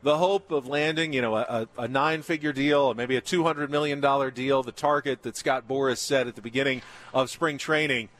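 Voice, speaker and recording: Male sports talk host, live remote show, average pitch. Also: fairly even intonation, brisk, a bit bright. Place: live remote broadcast at a baseball spring training site.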